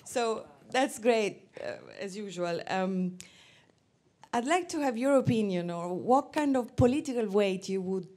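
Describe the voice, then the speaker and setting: A woman asking a long, halting question from the audience at a conference. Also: medium pitch, a little mellow. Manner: searching, halting phrasing.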